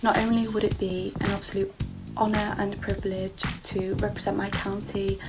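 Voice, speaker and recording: A woman's voice: medium pitch, little pitch movement, normal speed, low-quality recording. A woman in her twenties giving a prepared, formal self-introduction as a pageant contestant. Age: 20s. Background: loud music.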